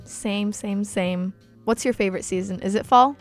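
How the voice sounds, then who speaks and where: A young woman whose first language is Polish hosts a relaxed radio chat, bubbly and talkative, her stories animated and full of energy. Her voice sits at an ordinary middle pitch, with soft music playing faintly underneath. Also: normal speed. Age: young adult. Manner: bubbly, chatty, animated storytelling. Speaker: radio show host. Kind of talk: casual chat. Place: college radio studio.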